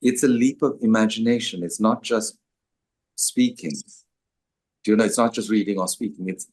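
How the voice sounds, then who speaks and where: An older man with a lowish voice talks his way through answers, circling back with "um" and restarts as he gathers his thoughts. He speaks as an author chatting to an audience, unhurried and conversational. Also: darkish tone. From Sri Lanka. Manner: hesitant, many fillers and restarts.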